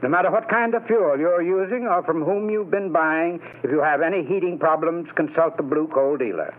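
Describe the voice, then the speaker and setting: A man delivering a 1930s radio sponsor pitch as an announcer, in a formal, emphatic, declamatory way. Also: moderate pace. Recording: tinny, narrow vintage radio recording.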